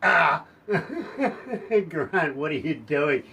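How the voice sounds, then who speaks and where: A man with a husky, somewhat hoarse voice hosts a radio interview, pitched a touch high. He gushes and chats warmly with his guest, laughing between lines. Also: very animated, very slow. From New Zealand. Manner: effusive, chatty, laughs often. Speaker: radio host.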